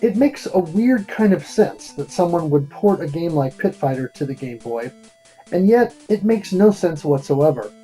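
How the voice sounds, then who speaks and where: A man's voice: fairly high pitch, lively intonation, normal speed, warm tone, faint music behind. A man hosting a retro video game review, reading scripted narration in a theatrical, wordy and wry manner.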